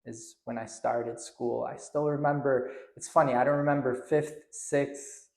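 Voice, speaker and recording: Man from Lebanon, Arabic first language, hesitant relaxed talk, medium pitch, roomy echo. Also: measured pace, vocal fry. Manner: relaxed, hesitant with many fillers and restarts. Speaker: podcast guest. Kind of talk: casual interview chat.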